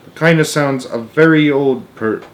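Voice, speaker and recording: A man's voice: mid-range voice, moderate pace. Man reading a children's story aloud. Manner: expressive, stumbles over words and corrects himself. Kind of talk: amateur read-aloud narration with asides.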